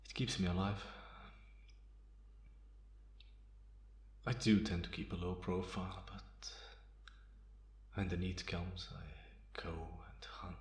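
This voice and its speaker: A man playing a bitter, guarded, centuries-old vampire in a slow ASMR roleplay monologue with measured pauses. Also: sits low. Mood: cold, resigned. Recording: roomy, echoing sound.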